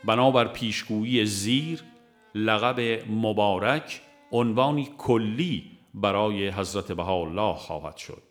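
Low-voiced Iranian man narrating over music. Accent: Iranian Persian. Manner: measured, formal literary reading. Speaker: audiobook narrator. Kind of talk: scripted narration of a religious book.

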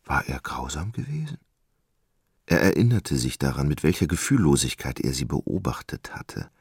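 A man with an extremely low voice narrates a literary radio play. His delivery is deliberate and dramatic, moving expressively up and down, captured very dry and close. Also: very animated, creaky, a little mellow, slightly rough, no room sound.

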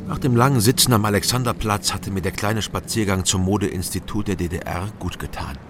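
Low, measured male investigator, played by an actor. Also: background noise, lightly acted. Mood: detached, observant. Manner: terse, measured, matter-of-fact. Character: sober, watchful male East German investigator, narrator. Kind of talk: radio crime drama, dry first-person narration and dialogue.

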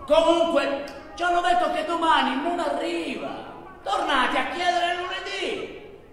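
An actor playing an unhurried, fatalistic African railway clerk on stage, teasing, stretching words and suddenly shouting. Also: male voice, extremely high pitch, some chatter behind, a little hiss or hum, pitched above the performer's own voice, heavily acted. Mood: loud, fatalistic. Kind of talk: theatrical stage monologue. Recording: very echoey hall, far from mic.

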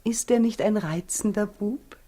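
An actress in a 1960s German radio play, playing Mary as a gentle, happy young new mother with a soft, breathy voice. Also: medium pitch, natural voice. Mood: happy, tender. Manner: gentle, unhurried.

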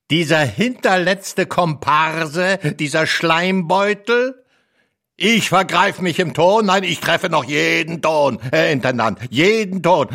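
Gravelly, pompous old opera star. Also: male voice, a little high, pushed and bright, full character voice. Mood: indignant, blustering. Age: 80s. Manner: theatrical, blustering, insulting. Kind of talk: German radio play acting.

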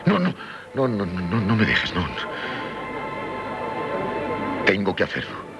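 An actor playing a despairing, defiant, world-weary man on trial in a 1970s radio drama, halting and brooding, with a Castilian Spanish accent. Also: sits low, huge pitch swings, lo-fi sound, full character voice. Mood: desperate resolve. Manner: hesitant, brooding, halting, repeating words. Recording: 1978 radio recording with loud music.

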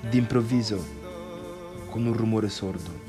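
A man reading scripted stage directions as a narrator, quiet and restrained. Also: lowish voice, measured pace, music in the background.

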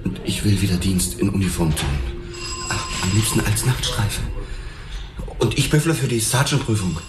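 Gruff policeman in an echoey room, acted by a man. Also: fairly low pitch, expressive, moderate pace, very noisy, lightly acted. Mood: restless. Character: restless, gruff young male policeman. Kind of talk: radio drama acting. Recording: echoey room, music behind.

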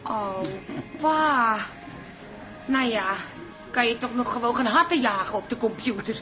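A high-voiced exasperated woman in a radio play, soft-hearted about animals. She takes her time over the words and sounds fond of her cat. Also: animated, unhurried, music in the background.

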